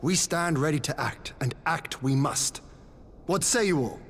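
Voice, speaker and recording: A man playing an earnest noble lord in a fantasy video game, formal, grave and deliberate. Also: on the high side, a bit bright, slight background noise. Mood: grave, resolute. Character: earnest noble lord allied to the war council.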